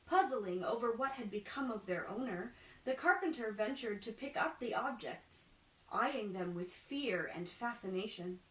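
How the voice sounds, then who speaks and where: A woman storyteller reading a children's picture book aloud with careful, deliberate narration. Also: a little high, lively intonation, a bit bright. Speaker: storyteller in princess character. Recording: roomy echo, distant microphone.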